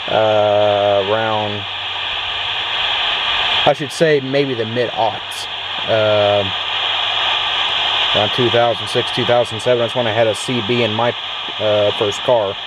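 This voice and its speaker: An American man casually talking through a demonstration in an unhurried, rambling way, recorded on a handheld camera inside a pickup truck. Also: fairly low pitch, steady tone. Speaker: vlogger demonstrating an old CB radio. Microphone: handheld camera, speaker off screen. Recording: very noisy, radio static and vehicle ambience.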